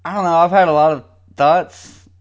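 A man's voice: a little high, fairly even intonation, normal speed, highs cut off. A man co-hosting a podcast in casual banter, rambling, laughing often and swearing freely. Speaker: podcast co-host.

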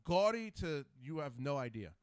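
A man in his forties hosts a relaxed podcast and talks in a loose, profane way, drifting into long stories. His pitch swings widely and sits slightly high. The sound is close and dry, with no room echo. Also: moderate pace, clean tone. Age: 40s. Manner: loose, profane, rambling storyteller. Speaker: podcast host. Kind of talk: casual podcast chat.

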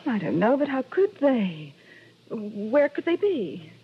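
A woman playing a fragile young American widow in a 1960s radio drama, breathy, halting and plaintive. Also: fairly high pitch, sing-song, heavily acted. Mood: forlorn, clinging. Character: lovely young widow, fragile, upset and pleading. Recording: muffled 1960s radio recording.

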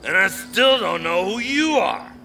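A man's voice: high voice, lively intonation, a little slow, over music. A man voicing a surly, mocking gatekeeper in a fantasy audio drama, dry and dismissive.

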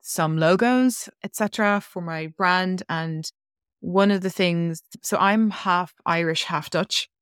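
A woman's voice: lowish voice, takes it slowly, fairly bright tone, clean tone, no echo, studio quality. A woman guest on a podcast telling a personal story in a chatty, rambling way, full of "like" fillers. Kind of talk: casual interview storytelling.

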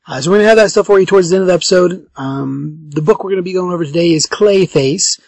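Raspy man hosting a podcast, higher-pitched voice. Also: quick pace, darkish tone, dry recording. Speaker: podcast host. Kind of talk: casual chat with a co-host.

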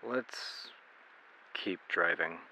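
A man's voice: low-pitched, drawn-out words, full character voice. A man acting a wary, anxious road-trip companion in an audio drama, speaking in terse phrases. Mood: subdued. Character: wary, urgent male travel companion on supernatural road trip. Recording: muffled, loud vehicle noise.

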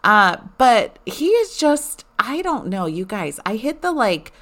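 A woman in her forties chatting casually to her listeners, animated and rambling with lots of fillers. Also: fairly high pitch, measured pace. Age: 40s. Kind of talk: casual personal chat.

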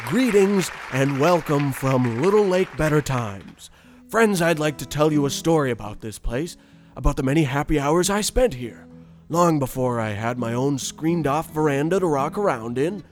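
A man playing a nostalgic, oblivious, privileged old man who reminisces in a genteel, unhurried, folksy radio storytelling style. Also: fairly high pitch, animated, some chatter behind, lightly acted. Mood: fond nostalgia. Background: plucked guitar music.

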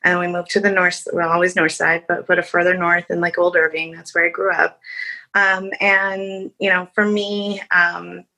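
A woman from Chicago, a lawyer on a panel, telling her career story haltingly with many ums and restarts. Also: fairly low pitch, little pitch movement, creaky, sharp tone, low-quality recording. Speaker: attorney on a panel. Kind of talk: panel discussion answer.

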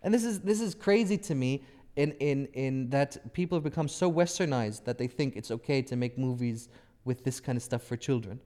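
A man's voice: mid-range voice, moderate pace, few pauses, dark tone. A man, a native Arabic speaker, hosting a casual interview and slipping informally between English and Arabic. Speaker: interview host.

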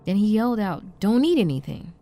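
A woman playing a shaken, compassionate wife in an audio drama, with halting, stammering, hushed delivery. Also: medium pitch, big pitch swings. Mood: uneasy recounting. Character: shaken, compassionate wife trapped in frozen time. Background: background music.

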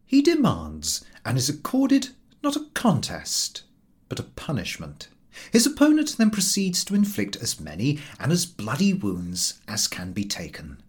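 Guy reading an old essay for an audiobook, really up and down pitch, bit husky, emphatic speechy delivery. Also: wildly swooping pitch, measured pace. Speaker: audiobook reader. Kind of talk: scripted narration of a humorous literary essay.

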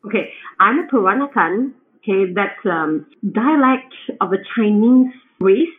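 A woman's voice: medium pitch, expressive, unhurried. A woman from Singapore with a Singaporean accent, a home cook chatting as a podcast guest, explaining with frequent restarts. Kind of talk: conversational interview. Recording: tinny phone-like remote line.

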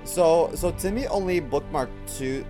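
A man's voice: a little high, unhurried, music in the background. A man in his thirties co-hosting a podcast with casual, bawdy banter and playful exaggeration. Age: 30s. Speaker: podcast co-host.

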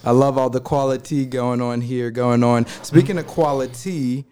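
A man hosting a casual podcast chat, upbeat and quick to laugh, often repeating his phrases. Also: medium pitch, steady tone, warm tone, a little hiss or hum. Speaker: podcast host.

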